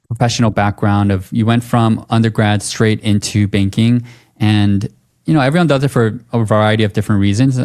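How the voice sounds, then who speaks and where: A man's voice: fairly low pitch, darkish tone, clear, resonant tone. A man hosting a podcast, reading an upbeat intro and asking interview questions. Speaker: podcast host.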